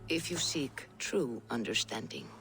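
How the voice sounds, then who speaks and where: An actress playing a calm, mystical Norse seeress in a video game, reverent and reassuring, with a measured, ceremonial delivery that turns hushed at times. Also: female voice, extremely low pitch, slight background noise, full character voice. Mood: calm, mysterious. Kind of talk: dramatic video game acting.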